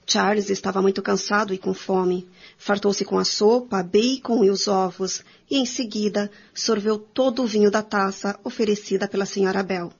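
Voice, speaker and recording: A woman's voice: medium pitch, fairly even intonation, normal speed, vocal fry, husky. A woman reading scripted dramatic narration for a radio drama. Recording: dull recording, faint music behind.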